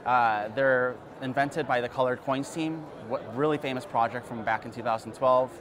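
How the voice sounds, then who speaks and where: A man's voice: medium pitch, steady tone, normal speed, crowd noise. A man being interviewed as an investor, answering casually with many fillers and a boastful tone. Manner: hesitant, frequent fillers, boastful.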